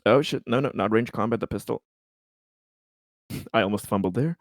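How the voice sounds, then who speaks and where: A man's voice: fairly low pitch, normal speed, no echo. Playful man playing a tabletop game, dry sound. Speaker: player in a tabletop roleplaying game. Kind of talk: casual in-game action narration.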